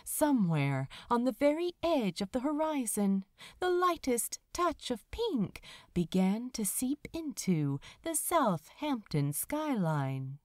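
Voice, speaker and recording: A woman reading fiction aloud as a dramatic narrator, expressive and measured, with deliberate pauses. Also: on the high side, dry recording, studio quality. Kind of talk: dramatic scripted audiobook narration.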